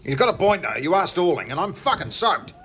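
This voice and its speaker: A cranky little droid, voiced by a man, swearing nonstop. The voice is high and bright, and the delivery is sarcastic and fed up throughout. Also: big pitch swings, fairly quick. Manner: constant swearing, sarcastic ranting voice-over. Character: grumpy foul-mouthed male droid, fed up and complaining. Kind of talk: comedic dub voice-over.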